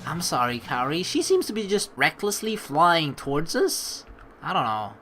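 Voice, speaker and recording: Bright, very animated let's play host. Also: medium pitch, moderate pace. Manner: rambling, reactive, excitable. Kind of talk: game playthrough commentary with dramatic read-aloud. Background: game music.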